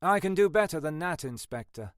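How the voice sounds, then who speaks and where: A man's voice: fairly high pitch, animated, fairly quick, dry recording, clean studio sound, pitched above the performer's own voice, close to an everyday voice. Man acting a cocky young cut-glass English techie. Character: cocky skinny young male computer forensics whiz, twenty-six.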